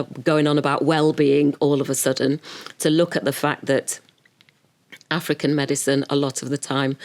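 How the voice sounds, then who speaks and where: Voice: mid-range voice, darkish tone, no echo. An educator speaking reflectively and earnestly, repeating words for emphasis. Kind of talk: reflective interview talk.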